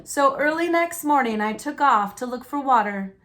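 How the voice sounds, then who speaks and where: A woman reading a children's picture book aloud as a storyteller, in an expressive, folksy and dramatic manner. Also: high-pitched. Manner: folksy, dramatic, deliberate delivery.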